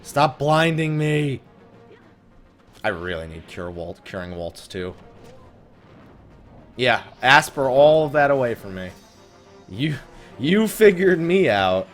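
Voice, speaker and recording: Sweary man with very animated, swinging pitch. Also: slow pace, long pauses, soft background music. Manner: sweary, griping, sarcastic. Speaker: host of a gaming let's play series. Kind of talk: casual let's play commentary.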